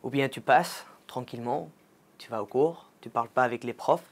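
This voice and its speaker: A man's voice: medium pitch, moderate pace. Young spanish guy, accent, masters student, thinks as he talks. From Spain. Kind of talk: testimonial interview.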